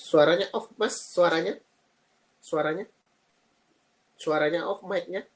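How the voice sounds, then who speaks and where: A man in his thirties from Indonesia, a guest on a live-streamed interview, explaining things earnestly with frequent pauses. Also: fairly high pitch. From Lampung, Indonesia. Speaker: guest, PhD student and lecturer.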